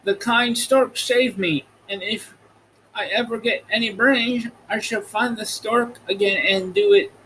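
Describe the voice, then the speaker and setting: Man playing a cheerful, high-voiced scarecrow. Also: steady tone, unhurried, bright, forceful tone, a little hiss or hum, heavily acted, poor audio. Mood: grateful. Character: grateful, cheerful living scarecrow, simple and gentle.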